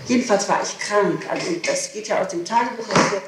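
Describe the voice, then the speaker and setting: A woman answers an interrogator haltingly, often restarting and repeating her words. She sounds distant, in a very reverberant room. It is an old 1960s tape with faint noise. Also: medium pitch. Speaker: person questioned in a murder investigation. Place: echoey room, away from the microphone. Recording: echoey, noisy, distant 1960s tape recording.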